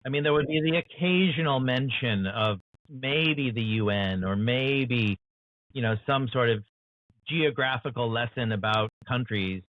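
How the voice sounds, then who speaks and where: An American man talks earnestly as an author in an interview, leaning on "you know" as he builds his point. His voice sits at an ordinary middle pitch. The sound is dry and thin, like a narrow phone line from a video call. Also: a little slow, dry recording. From United States. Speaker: author guest.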